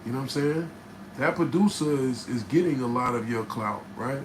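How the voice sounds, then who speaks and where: Raspy rapper spinning a studio story. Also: male voice, mid-range voice, moderate pace. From New Jersey, USA. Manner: animated, profane, keeps checking in with "right?". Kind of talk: casual storytelling to camera. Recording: noticeable background noise.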